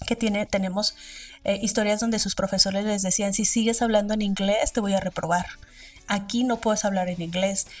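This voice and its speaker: A woman's voice: mid-range voice, distant mic. A woman with a Mexican accent, an academic researcher giving explanatory interview answers and illustrating them with quoted examples.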